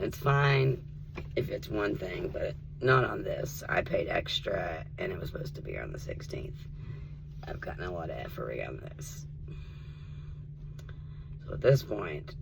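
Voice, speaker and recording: A rough-voiced man with a low, gravelly tone, sounding worn out. He talks casually and earnestly to the camera, slipping into soft whispers. Steady noise hisses underneath the recording. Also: a little slow, pauses often. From Tennessee. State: rough voice needing rest. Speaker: vlogger. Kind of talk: casual spiritual vlog and storytime.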